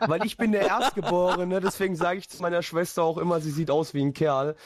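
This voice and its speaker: A man's voice: high-pitched, fairly even intonation, fast, no room sound. A young man rambling through a personal story in casual podcast chat, with many fillers and restarts. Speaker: podcast host.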